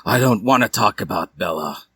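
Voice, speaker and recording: Possessive teenage boy for story reading, acted by a man: surly growl, husky, swinging sharply in pitch. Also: lively intonation, normal speed, fairly bright tone, dry recording. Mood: angry. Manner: growling, blunt.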